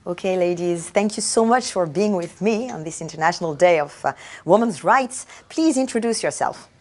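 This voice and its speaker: Woman host, animated intonation, low register, brisk welcoming questions. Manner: brief, welcoming prompts. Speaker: interviewer. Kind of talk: interviewer prompts.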